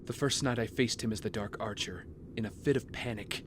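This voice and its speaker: A man acting a brooding, guilt-ridden young vigilante hero in an audio drama, speaking in an earnest, measured, confessional way. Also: fairly low pitch, slight background noise, close to an everyday voice. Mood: troubled, confessional. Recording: music bed under the voice.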